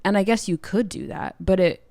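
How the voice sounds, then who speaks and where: A woman's voice: medium pitch, animated, normal speed, gravelly, darkish tone, dry recording. A woman interviewing casually as a podcast host, informal and encouraging toward her guest.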